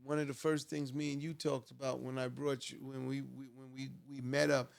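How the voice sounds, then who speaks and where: A man's voice: mid-range voice, flat delivery, fairly quick, gravelly. A man hosting a podcast in a studio, chatting casually with lots of "you know" asides. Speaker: podcast host.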